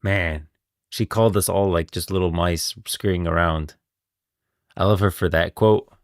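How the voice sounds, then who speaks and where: Low-voiced man chatting on a livestream. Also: steady tone, unhurried, clear, resonant tone, no room sound, clean studio sound. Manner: informal, chatty, thinking aloud. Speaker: livestream host. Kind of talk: casual livestream commentary and chat.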